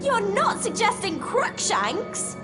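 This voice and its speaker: A woman's voice: extremely high pitch, sing-song, slow, full character voice. A woman playing a bossy, bookish teenage witch schoolgirl in a video game, prim and emphatic, with an English accent. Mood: earnest, insistent. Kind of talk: video game cutscene acting. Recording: loud music under the voice.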